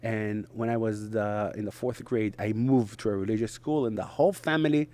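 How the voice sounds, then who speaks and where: A middle-aged man from Israel, a native Hebrew speaker, talking in English as an interview guest in short, halting phrases with frequent restarts. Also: fairly low pitch, steady tone, dark tone. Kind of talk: conversational interview.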